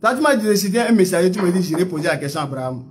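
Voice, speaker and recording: A man's voice: sits high, lively intonation, rapid-fire, crowd noise. A man with a West African French accent hosting a debate, pressing one question again and again and cutting in. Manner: repeats the same question, presses, interrupts. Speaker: debate host. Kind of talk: heated religious debate.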